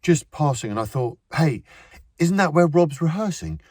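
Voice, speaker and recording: A man voicing a nervous young man who sounds overly bright and a little awkward. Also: a little high, lively intonation, normal speed, no room sound, studio quality, voice pushed up.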